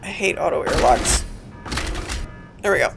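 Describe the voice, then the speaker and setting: A woman with a hoarse, raspy voice from a cold, giving casual, rambling gameplay walkthrough commentary as host of her channel, with frequent ums and self-deprecating jokes. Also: sits low, animated, a little slow, soft background music.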